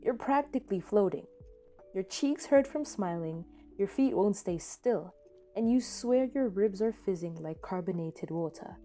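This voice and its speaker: A woman's voice: medium pitch, lively intonation, a little slow. A woman narrating a scripted second-person story as the reader of a podfic. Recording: soft music behind the voice. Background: soft background music.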